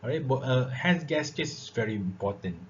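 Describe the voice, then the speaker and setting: A man's voice: mid-range voice, expressive, a little fast. A man giving a classroom lecture on public speaking, breaking his sentences with "uh", "okay" and "all right". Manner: filler-heavy, repeats "all right" and "okay". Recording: dull lo-fi recording with faint noise.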